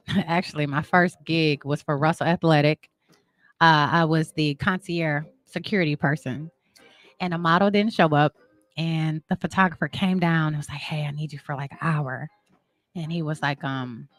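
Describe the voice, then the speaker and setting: Woman in her 30s, chatty interview guest, tells stories real lively, dry sound no room echo. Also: deep voice. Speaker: talk show guest, marketing and branding entrepreneur. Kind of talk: casual interview chat.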